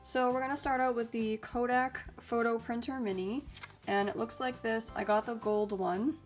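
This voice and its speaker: A young woman hosting a casual vlog, reviewing gadgets in a chatty, upbeat way with lots of ums and likes. She sounds a bit raspy and stuffy because she is getting over a cold. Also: a little high, fairly even intonation, normal speed, faint music behind. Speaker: YouTube host reviewing mini printers.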